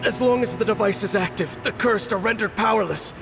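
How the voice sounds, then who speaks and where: Reserved, grave captain; calm authority, mid pitch, over game score. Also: male voice, gravelly, over music. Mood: urgent. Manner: measured, solemn, commanding. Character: grave stoic male swordsman captain, reserved. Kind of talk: video game cutscene voice acting.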